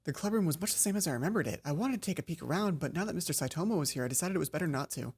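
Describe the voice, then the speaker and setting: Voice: mid-range voice, expressive, rapid-fire, slightly rough. A let's player reading visual novel narration aloud, stumbling and rereading lines, with casual asides. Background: soft game music.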